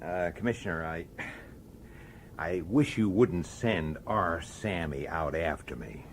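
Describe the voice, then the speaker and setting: A man's voice: sits low, expressive, takes it slowly, a little hiss or hum. An actor playing a sardonic, grumbling middle-aged male detective who tells his case in a radio drama. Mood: wry, uneasy. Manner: grumbling, sarcastic, hesitant with superiors. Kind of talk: radio drama acting with first-person narration.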